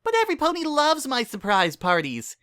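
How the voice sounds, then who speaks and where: A narrator voicing an excitable, chatty young female cartoon party pony with a squeaky, girlish, bubbly delivery. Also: fairly quick, clear, resonant tone, no echo, clean studio sound, heavily acted. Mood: disappointed, pleading. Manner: bubbly, gushing, emphatic.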